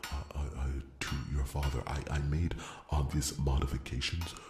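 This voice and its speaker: A man playing a timid, devoted blacksmith in an ASMR fantasy roleplay, speaking formally and haltingly, often slipping into a breathy whisper. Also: extremely low pitch, fairly even intonation, reverberant, background noise. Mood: nervous, shy. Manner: halting, stammering, formal and deferential. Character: timid, devoted male blacksmith, earnest and easily flustered. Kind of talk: ASMR roleplay, soft-spoken dramatic monologue.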